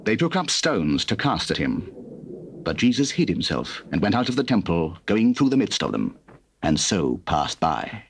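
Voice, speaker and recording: A man's voice: sits low, sing-song, normal speed, a little hiss or hum. A man giving scripted scripture narration on an old cassette recording.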